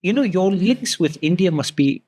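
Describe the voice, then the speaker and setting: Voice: mid-range voice, lively intonation, normal speed, a crowd in the background. Middle-aged host in casual interview. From Singapore. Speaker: host of a food talk show.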